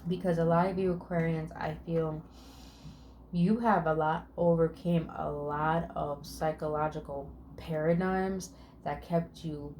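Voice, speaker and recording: A woman's voice: sits low, warm tone, slight background noise, rough recording. A woman giving a casual spiritual tarot reading, affirming and chatty, swearing casually and murmuring "mm" between card meanings. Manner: drawn-out words with murmured "mm". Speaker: tarot reader.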